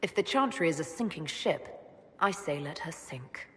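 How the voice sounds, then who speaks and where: An actress playing a frank, level-headed elven woman who leads an order in a video game, speaking calmly and directly. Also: deep voice, moderate pace, slightly rough, heavily acted. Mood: dry, firm. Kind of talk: video game cutscene dialogue.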